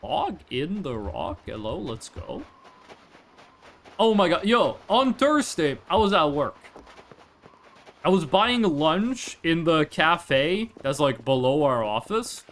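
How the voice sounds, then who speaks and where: A man's voice: fairly high pitch, big pitch swings, slow pace, fairly bright tone. A young man from Northern Norway, a Norwegian native speaker with a Northern Norwegian accent, chatting casually on a game livestream, rambling through stories with mock-formal asides. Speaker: streamer. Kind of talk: casual livestream chat while gaming.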